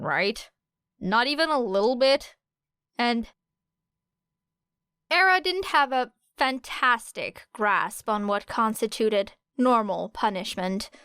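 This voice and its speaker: A woman's voice: medium pitch, clean tone, no room sound, clean studio sound. A woman reading scripted fiction as an audiobook narrator. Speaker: podfic narrator.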